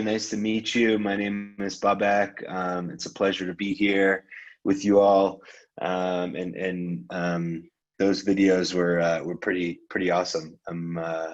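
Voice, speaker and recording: A man from Iran giving a casual presentation on a video call, relaxed and loose, with frequent ums and restarts. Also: low voice, highs cut off. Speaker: guest speaker, venture capitalist.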